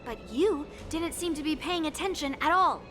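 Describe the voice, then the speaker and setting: High-pitched, brash teasing girl. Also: normal speed, fairly bright tone, faint music behind. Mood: matter-of-fact. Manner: teasing, boastful, blunt. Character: brash, teasing young warrior girl, proud and mischievous.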